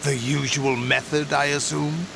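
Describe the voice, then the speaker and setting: A gravelly, imperious mastermind. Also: male voice, on the high side, flat delivery, noisy background. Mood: cool, calculating. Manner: commanding, deliberate, cold. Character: imperious, calculating male mastermind commanding a mercenary army. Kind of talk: video game cutscene acting.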